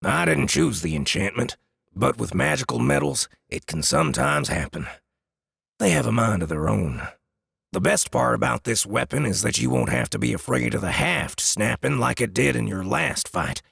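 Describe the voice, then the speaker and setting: Gravelly, booming, boastful dwarf smith. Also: male voice, expressive, moderate pace, a bit bright, no room sound, clean studio sound, exaggerated voice. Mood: proud, explaining. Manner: smug, bragging. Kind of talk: dramatized fantasy audiobook acting.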